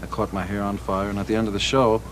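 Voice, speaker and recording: Man, deadpan joker, lowish voice, noisy film recording. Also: fast. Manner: deadpan joking. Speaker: musician being interviewed.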